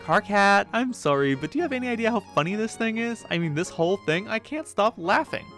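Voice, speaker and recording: A sly teenage girl troll, cocky and gleeful, her voice pitched high and swinging from taunts to cheering. A man acts her out, with a bright edge on his voice and faint music behind. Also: expressive, brisk. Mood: mocking. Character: sly cocky teen female alien troll.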